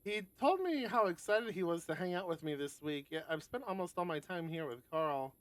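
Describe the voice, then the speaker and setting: Higher-voiced man on a dry mic. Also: expressive, brisk, no room sound. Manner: casual, sweary asides between narrated passages. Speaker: host of a visual novel stream. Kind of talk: reading aloud and chatting on a game stream.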